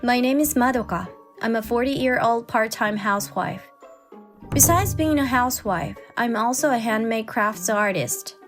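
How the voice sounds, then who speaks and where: Casting: actress as high-voiced housewife, forties, exasperated but caring, expressive storytelling delivery, music underneath. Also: female voice, lightly acted. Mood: measured narrating. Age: 40s. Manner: expressive, indignant in dialogue, measured when narrating. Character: forty-year-old housewife and crafts artist, exasperated but caring. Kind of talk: dramatized first-person story narration and dialogue.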